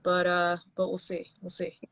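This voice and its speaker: A woman's voice: on the low side, monotone, normal speed, fairly bright tone, dry recording. Woman musician rambling with restarts. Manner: rambling with many restarts and fillers. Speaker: guest musician. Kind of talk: casual interview chat.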